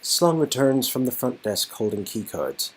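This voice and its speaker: A man reads a story aloud in a casual, playful way. His voice is slightly rough and husky, and his pitch moves around a lot. He slips easily into colloquial asides. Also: very animated, a little slow. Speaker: amateur reader performing an interactive game's dialogue. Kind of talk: casual dramatic reading with joking asides.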